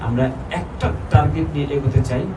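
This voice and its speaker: A man's voice: fairly high pitch, fairly even intonation, fast talker. A man with a Bangladeshi accent making a public speech as a community event speaker. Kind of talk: public speech urging unity. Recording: echoey, distant, loud vehicle-like noise.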